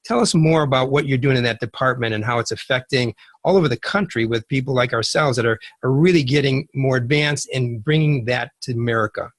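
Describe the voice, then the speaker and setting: A man's voice: medium pitch, a little fast, few pauses, no room sound. A man speaks as an interview host, with a middle-range voice. The sound is dry and close, with no room on it, as he presents and asks questions. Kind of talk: scripted introduction and interview questions.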